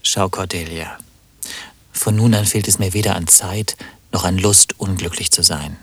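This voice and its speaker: An actor playing a wry, melancholic musician in a satirical radio play, speaking with theatrical, ironic lilt. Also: male voice, low-pitched, fairly even intonation, moderate pace, breathy edge, dry recording. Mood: wistful irony. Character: wry, melancholic male musician, mockingly lyrical.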